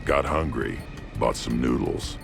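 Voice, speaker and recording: Very deep gravelly alien brute. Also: male voice, unhurried, music in the background, full character voice. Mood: boastful, amused. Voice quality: gravelly, growling. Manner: terse, deadpan. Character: young hulking alien krogan brute, blunt and unbothered. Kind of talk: video game acting.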